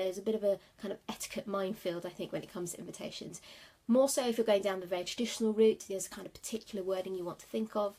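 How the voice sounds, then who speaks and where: Sniffly, husky woman chatting. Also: mid-range voice, darkish tone. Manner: chatty, rambling, many fillers. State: sniffly with a cold. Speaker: wedding planner. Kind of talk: casual livestream tips. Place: office at night.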